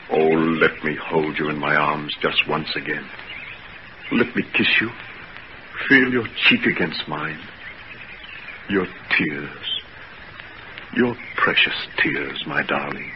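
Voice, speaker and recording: A man's voice: fairly low pitch, close to an everyday voice. An actor playing a guilt-ridden, lovesick doomed man in melodramatic, impassioned 1940s radio style. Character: tormented doomed man, guilty, lovesick, renouncing his sweetheart. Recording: noisy muffled 1940s radio recording.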